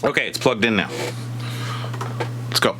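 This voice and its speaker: A man thinking aloud in casual, halting, playful commentary while he works hands-on with a machine indoors. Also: medium pitch, animated. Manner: halting, muttering to himself, playful. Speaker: vlogger repairing a tape deck. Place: indoors at a repair bench. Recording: clear machine hum and whir.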